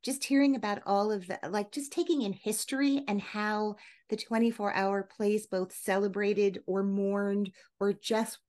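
A woman's voice: mid-range voice, steady tone, measured pace, darkish tone. A woman with an American accent hosting a casual interview podcast, effusive and appreciative in her way of talking. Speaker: podcast host.

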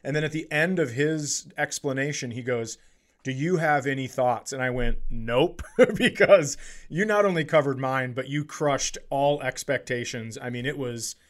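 A man's voice: mid-range voice, normal speed. Profane, bantering American man on a podcast. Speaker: podcast co-host and comedian. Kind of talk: casual comedic podcast chat.

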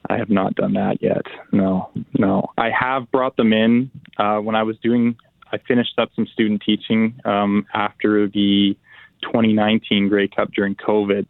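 Gravelly man on a tinny phone line. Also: lowish voice, no room sound. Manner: relaxed, halting with restarts and fillers. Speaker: pro football player, guest. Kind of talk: phone interview.

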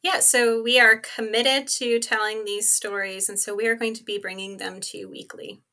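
A woman's voice: a little high, level tone, moderate pace, pushed and bright. A woman speaking as a podcast guest in an earnest, informal conversational style, often dropping in "like" and "you know". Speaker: podcast co-host being interviewed as guest. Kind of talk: conversational interview talk.

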